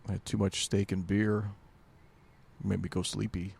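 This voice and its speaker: A man's voice: sits low, little pitch movement, measured pace, vocal fry, darkish tone, breathy edge. A man co-hosting a podcast in casual chat, laid-back with dry jokes and hesitant fillers. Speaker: podcast co-host.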